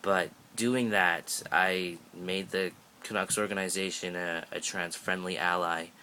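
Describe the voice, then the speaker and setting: Low-voiced teen guy chatting on his phone. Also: fairly even intonation, unhurried, sharp tone, a little hiss or hum. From Canada. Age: teens. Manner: rambling, chatty, jokey, many fillers and restarts. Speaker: vlogger. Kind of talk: casual update vlog. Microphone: phone held up at arm's length.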